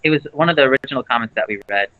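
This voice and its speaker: A man co-hosting a livestream, chatting casually with lots of fillers and restarts about a naturally low voice. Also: rapid-fire, no room sound, lo-fi sound. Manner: rambling, with many fillers and restarts. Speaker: livestream co-host.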